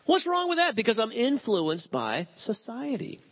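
A man in his fifties preaching a sermon, animated and telling stories with quoted voices. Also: very high voice, moderate pace. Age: 50s. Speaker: pastor.

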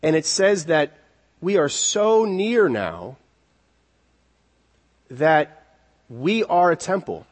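A man preaching an expository sermon in an earnest, deliberate way, repeating key words for emphasis. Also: fairly high pitch, expressive. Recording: long reverberant hall echo.

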